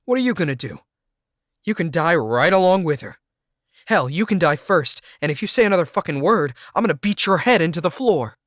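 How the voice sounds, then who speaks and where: A man voicing a hot-tempered young man who snaps threats loudly. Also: on the high side, animated, brisk, no echo, studio quality, voice pushed up. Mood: threatening. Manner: snapping, menacing.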